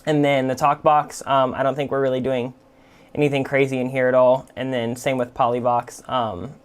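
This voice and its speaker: A man hosting a music production tutorial, explaining software casually and informally without a script. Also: medium pitch, level tone, moderate pace, smooth. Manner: informal, explanatory, full of "like" and "kind of".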